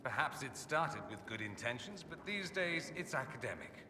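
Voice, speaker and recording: An actor playing a witty, haughty mage from a fantasy empire, speaking with suave, theatrically arch sarcasm in a video game. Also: male voice, medium pitch, very animated, moderate pace, distant mic, natural voice. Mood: sardonic, amused. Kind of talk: video game character dialogue. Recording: echoey hall, clear background ambience noise.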